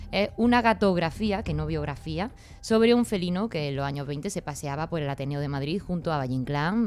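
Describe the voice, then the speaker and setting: A woman's voice: fairly low pitch, darkish tone, slight background noise. A woman from reading a scripted introduction as a podcast host. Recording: music under the voice.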